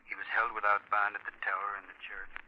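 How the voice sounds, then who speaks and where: A man's voice: on the low side, monotone, fast, slight background noise, close to an everyday voice. A man playing an official reader of a court announcement in a formal, old-time radio bulletin style. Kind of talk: 1940s scripted news-bulletin reading. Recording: dull, sharp vintage radio sound.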